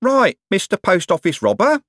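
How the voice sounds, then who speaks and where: A man's voice: high-pitched, wildly swooping pitch, normal speed, fairly bright tone, no room sound, clean studio sound, pitched above the performer's own voice, full character voice. A man voicing a mock-cheerful, matey thug with a bellowing delivery in an audiobook. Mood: menacingly cheery. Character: menacingly cheery male thieves' enforcer.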